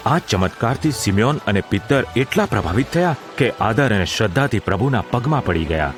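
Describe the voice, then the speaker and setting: A man's voice: fairly low pitch, animated, fairly quick. A man narrating a scripted audio drama in a formal, literary storytelling manner. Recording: music under the voice.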